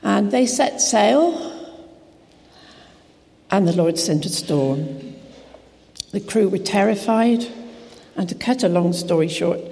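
A woman preacher with a croaky voice, giving a chatty, informal sermon into a PA microphone in a church hall, with self-deprecating asides. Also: lowish voice, warm tone. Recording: reverberant hall with faint noise.

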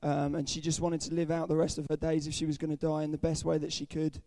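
Young man, croaky sick voice, flat delivery, mellow tone, dry sound. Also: a little high, fast talker, no room sound. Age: young adult. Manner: earnest, candid, frequent ums. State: hoarse, croaky voice from illness. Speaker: student speaker at a church service. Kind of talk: personal testimony sermon.

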